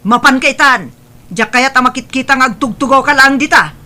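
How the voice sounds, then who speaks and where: A woman's voice: sits high, brisk, bright, forceful tone. A woman playing a harsh, nagging mother in an audio drama, speaking with an Ilocano accent. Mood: irritated, scolding. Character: harsh, nagging mother scolding her young son.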